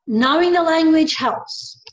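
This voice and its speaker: A pastoral care sister with a high, bright-sitting voice talks with lively emphasis. She acts out little exchanges as she goes. The recording is roomy and muffled. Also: slow. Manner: emphatic and animated, acts out conversations. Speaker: pastoral carer and religious sister. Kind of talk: panel discussion. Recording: echoey dull-sounding room.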